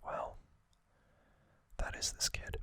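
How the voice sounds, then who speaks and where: A man hosting ASMR, narrating in hushed whispers with frequent pauses and a gentle, reassuring manner. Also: no echo. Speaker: ASMR host.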